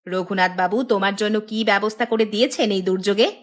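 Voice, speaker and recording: A woman's voice: medium pitch, fairly even intonation, fast, lightly acted. A woman playing a teasing, doubting village wife in a cartoon, with a coaxing way of talking. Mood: teasing, curious. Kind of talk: Bengali cartoon dialogue acting.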